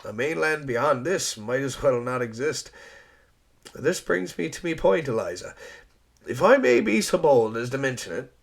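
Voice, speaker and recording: A man's voice: mid-range voice, very animated, normal speed, creaky, pitched above the performer's own voice. A man playing a self-assured, courteous storekeeper with an easygoing, folksy way of talking. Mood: talkative.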